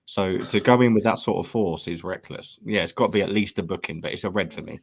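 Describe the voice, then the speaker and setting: A man on a football panel talks fast in a low voice. He builds his argument piece by piece in a casual, conversational way. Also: vocal fry, slightly rough. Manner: quick, building an argument point by point.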